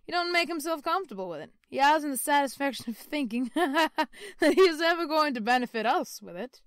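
Loud, jolly young gentleman, played by a woman, higher-pitched than his hearty manner suggests, dry close recording. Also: lively intonation, fairly quick, no echo. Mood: hearty, jovial. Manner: loud, boisterous and cheerful. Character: hearty, jovial young man, laughing.

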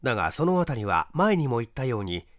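Male narrator for mystery audiobook: mid-pitched, highly expressive range, close dry recording. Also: brisk, dry recording. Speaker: audiobook reader, stage actor. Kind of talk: dramatic scripted narration of a mystery story.

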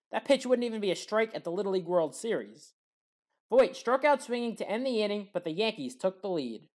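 A woman giving scripted play-by-play commentary over sports highlights as a voice-over.

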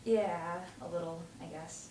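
A woman's voice: fairly low pitch, fairly even intonation, unhurried, natural voice. Young woman as wistful teenage girl, noisy room. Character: Maya, wistful teenage girl before prom. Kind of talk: table-read acting, naturalistic dialogue. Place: home dining room, around a table. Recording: roomy, distant camera mic, loud noise.

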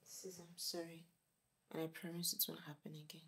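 Subdued, apologetic kid sister for screen drama, low register with plenty of fry. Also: female voice, moderate pace, fairly bright tone. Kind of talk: film drama acting.

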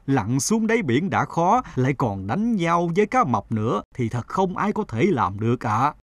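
A man's voice: medium pitch, sing-song, normal speed, no room sound, studio quality. Man playing a fawning, formal courtier. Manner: obsequious, formal. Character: fawning adult male courtier flattering the emperor. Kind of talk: cartoon fable acting.

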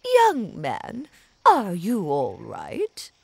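A woman playing an awestruck villager in an animated fairy tale, dramatic and full of wonder, with music behind her. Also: medium pitch, extremely slow, vocal fry, breathy edge, dry recording, full character voice. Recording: voice over loud background music.

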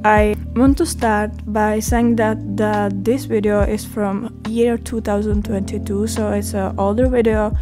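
A young woman from the Czech Republic with a Czech accent, narrating her art vlog in a halting way, with many fillers and restarts. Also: mid-range voice, flat delivery, over music. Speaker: art vlogger. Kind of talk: voice-over narration.

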